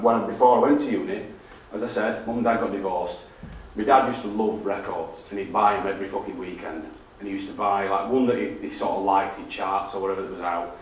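A man's voice: on the low side, slight background noise. A middle-aged man with a thick Yorkshire accent, telling stories on stage in a blunt, sweary, self-deprecating way. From Yorkshire, England. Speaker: designer giving a public talk. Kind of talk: casual storytelling talk with heavy swearing. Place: dark theatre stage with projector screen. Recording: echoey hall heard from a distance.

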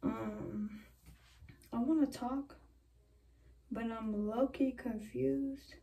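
A woman's voice: a little high, steady tone, extremely slow, vocal fry, dark tone. A young woman chatting casually to her camera while doing her hair in a small bedroom, rambling from one thought to the next. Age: young adult. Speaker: vlogger. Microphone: camera a few feet away. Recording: voice a bit far from the mic.

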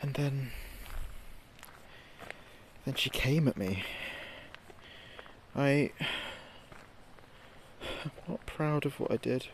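An actor playing a frightened late-night radio DJ giving a halting, haunted field report in a horror audio drama. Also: male voice, mid-range voice. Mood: disturbed, halting. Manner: halting, hesitant, haunted delivery. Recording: noisy outdoor field recording with ambience.

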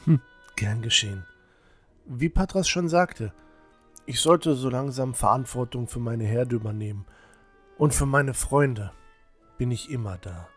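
Loyal young temple novice played by a man: thoughtful, modest, breathy, unhurried, for fantasy audio play. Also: mid-range voice, soft background music, lightly acted. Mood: earnest, loyal. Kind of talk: audio drama acting.

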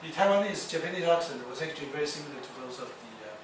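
A man giving a university lecture, speaking haltingly with many fillers and restarts. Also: fairly high pitch, animated. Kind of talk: classroom lecture. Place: lecture hall. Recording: echoey lecture hall, distant mic, noisy.

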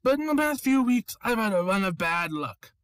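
A man voicing a gleeful, theatrical clown villain in a cartoon fan dub, mock-friendly and drawn-out. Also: high voice, sing-song, a bit bright, clear, resonant tone, no room sound, clean studio sound. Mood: aggrieved.

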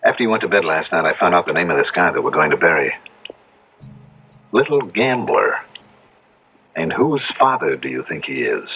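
An actor playing a practical, sceptical young city-bred husband in a 1970s radio drama. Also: male voice, rumbling low, sing-song, normal speed, long pauses, breathy edge, muffled recording, soft background music, heavily acted. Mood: suspicious. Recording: band-limited, noisy old radio recording.

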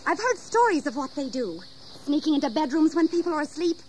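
A woman's voice: high-pitched, sing-song, a little fast, a little mellow, slight background noise. A woman playing a genteel, proud and anxious plantation mistress in an audio history drama, with emotional delivery. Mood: fearful, indignant. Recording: 1980s audio drama, insect ambience.

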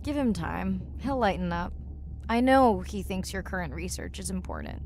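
An actress playing a warm, eager marine scientist and diver in natural video game dialogue. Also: female voice, on the low side, sing-song, normal speed, a little hiss or hum. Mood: warm, conversational. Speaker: voice actor. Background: music.

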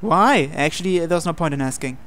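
A man's voice: on the high side, lively intonation, a little fast, fairly bright tone, a little hiss or hum. A young man reading a visual novel's narration and dialogue aloud as the host. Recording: music behind the voice. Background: game background music.

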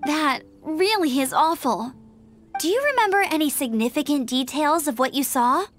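An eager young female scout from a video game. Her voice is high and animated, with an earnest, friendly energy over background music. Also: moderate pace. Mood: concerned, brisk.

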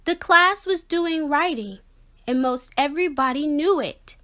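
A woman reading a children's picture book aloud, expressively and with a measured, rhyming rhythm. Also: high-pitched, fairly bright tone. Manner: measured, rhythmic delivery of rhyme. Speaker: children's story reader.

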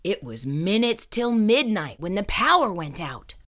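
A woman's voice: medium pitch, very animated, unhurried, fairly bright tone. A woman reading a children's story aloud in a suspenseful, theatrical and emphatic way. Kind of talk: dramatic read-aloud narration.

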